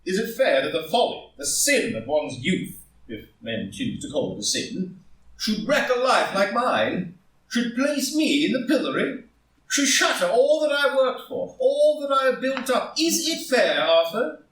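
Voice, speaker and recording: Actor as an anguished gentleman, echoey and distant. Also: very high-pitched, big pitch swings, unhurried, full character voice. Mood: defensive, agitated. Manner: impassioned, rhetorical, formal. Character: anguished, proud, ambitious married gentleman politician. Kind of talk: live group play reading. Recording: roomy echo, far from the mic.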